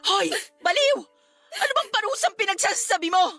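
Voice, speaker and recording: Outraged protective cousin, young, Filipino accent, forceful bright high voice, scolding radio drama delivery with music underneath. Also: female voice, animated, normal speed, dry recording, heavily acted. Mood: indignant. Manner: scolding, emphatic, emotional. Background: background music.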